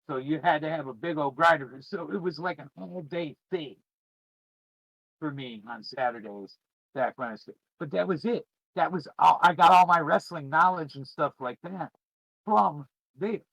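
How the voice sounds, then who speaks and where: A man's voice: a little high, normal speed, vocal fry, a bit bright, no room sound, low-quality recording. A middle-aged man chatting casually to viewers as a streamer, in a rambling, informal and emphatic way. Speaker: podcast host and streamer. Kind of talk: casual chat, reminiscing to viewers.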